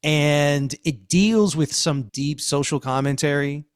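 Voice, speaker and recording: A man's voice: on the high side, slow, smooth, no echo, studio quality. A man hosting a podcast discussion as a cultural critic, speaking in an effusive, emphatic way with repeated phrasing.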